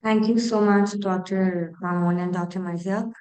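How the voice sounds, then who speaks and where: A woman hosting and moderating a panel discussion, posing long, measured, multi-part questions. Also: medium pitch, steady tone, darkish tone. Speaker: host and moderator.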